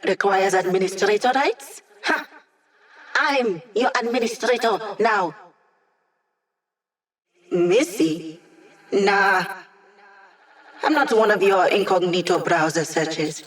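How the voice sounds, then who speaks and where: A woman's voice: medium pitch, animated, takes it slowly, long pauses, distant mic. An actress voicing a sassy, cocky young female hacker in a video game, with wry, teasing and sarcastic delivery. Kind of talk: video game character voice lines.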